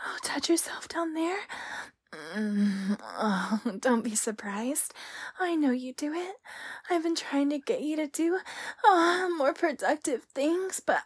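A woman playing a seductive, playful spirit in an intimate adult audio roleplay, breathy and often whispering, with a teasing, lingering delivery. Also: high voice. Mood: coaxing, playful. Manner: sultry, teasing, lingering hesitations.

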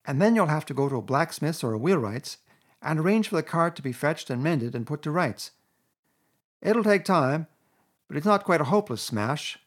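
A man voicing a stern, sensible male water rat who talks firmly and with exasperation, in a husky voice. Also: on the high side, lively intonation, voice pushed up. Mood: exasperated. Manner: firm, brisk, exasperated.

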